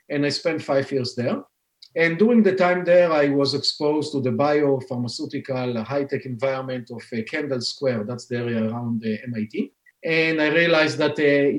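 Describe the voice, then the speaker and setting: A man in his forties with an Israeli accent, a scientist and founder explaining his work in a podcast interview, with many fillers and restarts. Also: mid-range voice. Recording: roomy echo.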